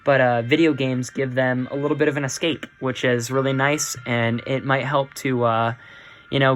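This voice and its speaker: A man's voice: medium pitch, a bit bright, a little hiss or hum, rough recording. Young man rambling casually on a podcast. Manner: rambling, peppered with "you know". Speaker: podcast host. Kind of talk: casual unscripted podcast monologue.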